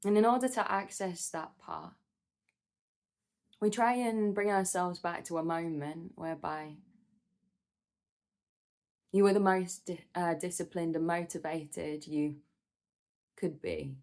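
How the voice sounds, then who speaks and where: A woman leading a guided meditation in a soothing, gentle manner, with measured instructions and pauses. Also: fairly low pitch, fairly even intonation.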